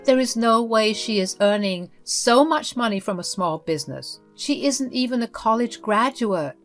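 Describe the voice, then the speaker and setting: A woman voicing a pushy, scornful older mother-in-law who talks down in a shrill, condescending way. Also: lively intonation, moderate pace, fairly bright tone, faint music behind.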